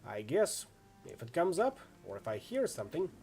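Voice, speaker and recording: A man's voice: fairly high pitch, wildly swooping pitch, normal speed, a little mellow, faint music behind. A man voicing a friendly, easygoing orc swordsman in a video game, chatty and full of laid-back street slang. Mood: matter-of-fact, casual. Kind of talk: acted reading of role-playing game dialogue.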